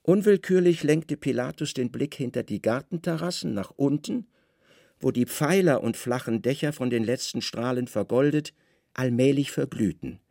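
Creaky-voiced male literary narrator. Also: medium pitch, moderate pace, dark tone, dry recording, clean studio sound. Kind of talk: literary radio drama narration.

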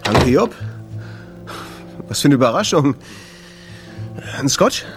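Harried, very animated club owner. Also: male voice, mid-range voice, a little slow, music in the background. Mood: nervous. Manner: terse, nervous, informal. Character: harried, edgy jazz club owner under pressure. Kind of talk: radio drama acting.

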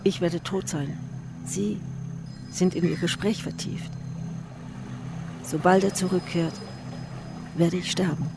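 A woman's voice: fairly low pitch, steady tone, slow, gravelly, pauses often, over music. An actress playing an intense, weary, anguished woman in a literary radio drama. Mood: weary, yearning.

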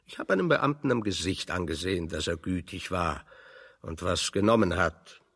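An actor playing a wry, pedantic intellectual exile in German radio drama, explaining things with irony. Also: male voice, low voice, expressive, normal speed, fairly bright tone, breathy edge, in a large hall, heavily acted. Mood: pedantic, ironic. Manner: pedantic, ironic, expository. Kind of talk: German radio play dialogue.

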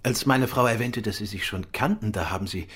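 A man acting a courteous, probing, self-assured gentleman detective in a German radio crime drama, questioning politely and with measured care. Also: fairly low pitch, slightly rough.

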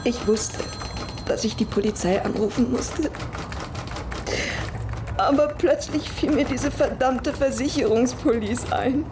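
An actress playing a distressed, defiant woman confessing haltingly and emotionally in a radio crime drama. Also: on the high side, background noise, close to an everyday voice. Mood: shaken, confessing.

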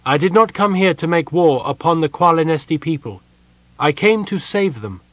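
A man reads as a frail young girl prisoner in a fantasy story, pitched clearly higher than his narration. She is earnest and cool, with iron conviction beneath it. The recording is dry and close. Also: expressive, unhurried, no echo. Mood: earnest, gentle. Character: frail young human girl prisoner, serenely devout and defiant.

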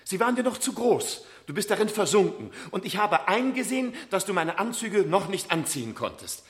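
A man's voice: high voice, very animated, fairly quick. An actor playing an anxious, evasive middle-aged father in a 1970s radio play, hesitant, stammering and pleading. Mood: flustered, pleading.

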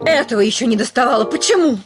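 An actress playing a proud, fiery young widowed landowner with a haughty, theatrical manner in a classic comedy radio play. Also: female voice, fairly high pitch, lively intonation, fast, over music, natural voice. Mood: brisk, challenging.

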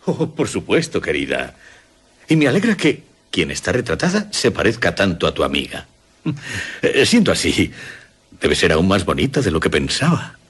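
Kindly old castilian gentleman dad in a radio play, deep husky voice, genteel, a bit hesitant. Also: wildly swooping pitch, moderate pace, heavily acted. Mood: affectionate. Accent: Castilian Spanish. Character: kindly, dignified older gentleman, a doting father. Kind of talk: Spanish radio drama acting.

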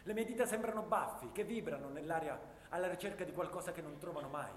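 Distant and echoing, a despairing trench soldier, played by a man declaims in a fairly high voice. It is an anguished theatrical monologue, carried through a reverberant hall. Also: fast, lightly acted. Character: despairing trench soldier, trapped in darkness like an animal. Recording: echoey hall from far off, faint noise.

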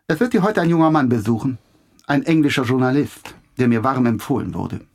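An actor playing a pompous, condescending male public prosecutor who declaims in a husky voice in a German radio play. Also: medium pitch, animated, brisk, a little mellow. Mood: condescending, official.

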